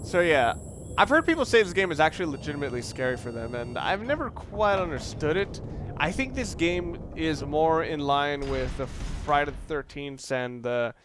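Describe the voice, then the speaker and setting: A man's voice: a little high, big pitch swings, normal speed, background noise. A man doing casual, unscripted game commentary, thinking aloud in a rambling way and often correcting himself. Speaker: let's player.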